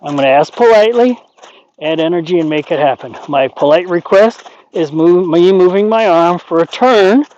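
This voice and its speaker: A man talking through horse training in a folksy, rambling way, his voice sitting a bit high. The sound is dull and lo-fi, taken outdoors by the horse pen. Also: normal speed, darkish tone. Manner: folksy, rambling, plain-spoken. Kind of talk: explaining horse training while working.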